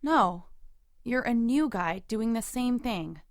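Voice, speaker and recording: A woman acting a resolute thirty-four-year-old girlfriend in an intimate audio drama about a breakup, measured and hurt. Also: on the high side, expressive, no room sound, clean studio sound. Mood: firm, frustrated.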